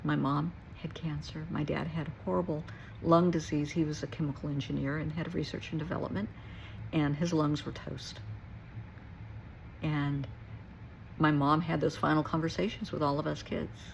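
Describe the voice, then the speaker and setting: A woman's voice: deep voice, little pitch movement, moderate pace, creaky, slight background noise, poor audio. A middle-aged woman giving a reflective personal talk to listeners, earnest and emphatic, repeating short phrases for weight. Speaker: therapist and nurse.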